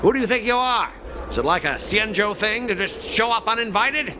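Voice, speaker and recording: A man's voice: high voice, expressive, a little fast, background noise. A man voicing an arrogant, easily riled corporate specialist in an anime-style game, boastful and theatrical. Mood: smug, taunting.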